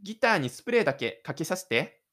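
A man plays a shy high school boy in an audio drama. He is stubborn and introverted, with a slightly high male voice. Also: big pitch swings, quick pace, a bit bright. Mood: apologetic, offhand.

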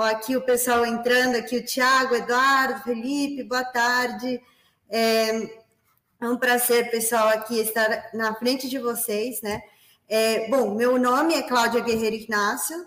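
A woman's voice: a little high, fairly even intonation, a little slow, fairly bright tone, echoey room. Peruvian-accented woman hosting a webinar. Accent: Peruvian Spanish. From Peru. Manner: friendly, many fillers like "né" and "tá". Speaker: webinar host, business development manager. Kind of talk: corporate webinar presentation.

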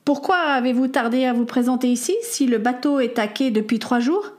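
A woman's voice: high voice, fairly quick, darkish tone, close to an everyday voice. Woman doing a brusque immigration clerk. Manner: brusque, dismissive. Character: curt bored immigration clerk, a man.

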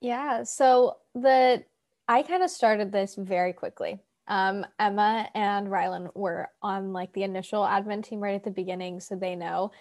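Osiemnastoletnia kobieta o średnio wysokim głosie opowiada swobodnie, z mnóstwem wtrąceń i powtórzeń. Mówi jak założycielka odpowiadająca na pytania w rozmowie. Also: female voice, mid-range voice, steady tone, unhurried, a little mellow. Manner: chatty, filler-heavy storytelling. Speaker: founder of a theater company. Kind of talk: casual interview answer.

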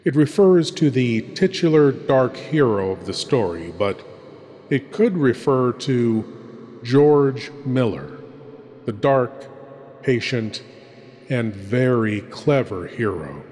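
Sinister, theatrical horror host, played by a man: very slow, suavely macabre delivery, dramatic pauses, long hall reverb. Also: medium pitch, animated, a little mellow. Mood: macabre relish. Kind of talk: theatrical scripted horror introduction.

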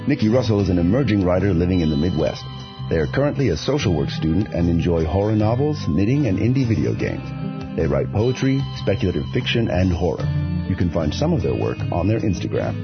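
Guy with a really low voice hosting a poetry podcast, careful delivery, guitar music behind him. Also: big pitch swings, a little fast. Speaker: podcast host and poetry reader. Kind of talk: scripted podcast presenting and poetry reading. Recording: slightly dull, music behind.

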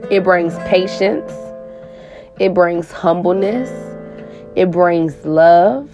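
A woman life coach with a raspy voice, giving a spiritual coaching talk and tagging her lines with "okay?". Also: on the low side, extremely slow. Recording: guitar music playing under the voice.

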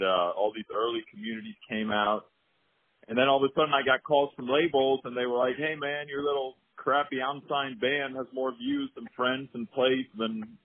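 A man from Sweden, telling his story in a relaxed, easygoing way as an interview guest. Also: mid-range voice, no echo. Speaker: guest, former music manager. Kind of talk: casual interview over phone. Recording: husky voice on a tinny, dry phone line.

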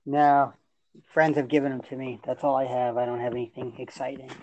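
A man raised with Yiddish and Hebrew, chatting casually in a plain, repetitive, low-key way. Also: little pitch movement, a little fast, darkish tone, dry recording, muffled recording. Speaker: podcast co-host. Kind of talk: casual chat.

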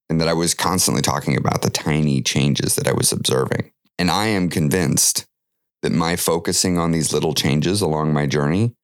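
Low-voiced man hosting a podcast with a cold. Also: no echo, studio quality. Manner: earnest, friendly and chatty with frequent fillers. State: has a cold, slightly stuffy. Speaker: podcast host and weight loss coach. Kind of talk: solo podcast monologue.

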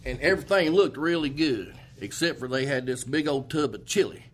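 A man's voice: mid-range voice, brisk, slight background noise. A man, guest on a casual interview, telling a joking story with lots of laughter. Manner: animated, laughing, lively storyteller. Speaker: band member guest.

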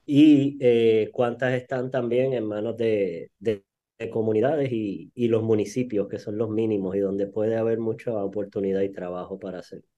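Mellow Puerto Rican man, dull sound. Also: on the low side, fairly even intonation, moderate pace. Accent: Puerto Rican Spanish. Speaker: returning podcast guest. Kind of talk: casual conversation.

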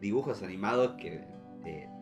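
A man's voice: lowish voice, lively intonation, moderate pace, over music. A young man with an Argentine accent talking casually as a podcast co-host. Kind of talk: casual chat.

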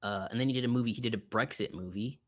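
A man co-hosting a podcast, chatting casually about films in an excitable, slangy way. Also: mid-range voice, fast talker. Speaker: podcast co-host. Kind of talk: casual film chat.

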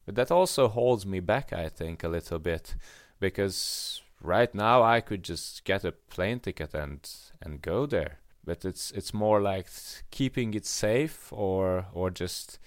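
A young man with a Hungarian accent talks through his thoughts in a relaxed podcast conversation, often pausing and restarting. His voice sits fairly low and sounds smooth and clear. The recording is dry and close. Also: no echo. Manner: reflective, halting with restarts. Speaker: podcast co-host. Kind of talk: casual conversation.

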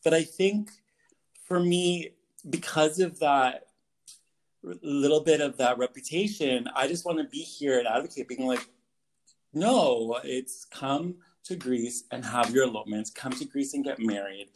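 A young Canadian man, a photographer, answers interview questions in a casual, earnest way, halting often with fillers and restarts. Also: mid-range voice, a little hiss or hum, low-quality recording. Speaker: guest photographer.

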